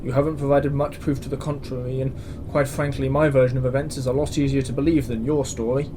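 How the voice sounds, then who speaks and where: A man's voice: medium pitch, little pitch movement, fast talker, natural voice. A man playing a stern, exasperated military commandant in a science-fiction audio drama, speaking with curt authority. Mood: stern warning. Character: stern, exasperated male space station commandant. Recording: clear background ambience noise.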